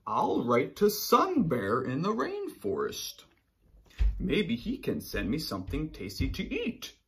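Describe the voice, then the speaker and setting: A man voicing a friendly, wistful polar bear from a children's storybook, expressively and with drawn-out words. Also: on the high side, huge pitch swings, fairly bright tone, voice pushed up, full character voice. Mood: eager.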